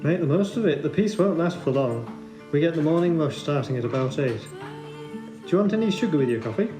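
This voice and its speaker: A man's voice: fairly high pitch, animated, a little fast, lightly acted. A man playing a friendly, polite, chatty café server in an audio drama, in a roomy space. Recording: roomy sound with guitar music.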